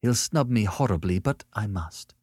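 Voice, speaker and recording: A man voicing a quick-tempered young woman artist in an audiobook story, sharp-tongued yet remorseful, with an emotional, theatrical way of talking. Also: fairly low pitch, lively intonation, breathy edge, dry recording, clean studio sound, voice pushed up. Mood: miserable, remorseful.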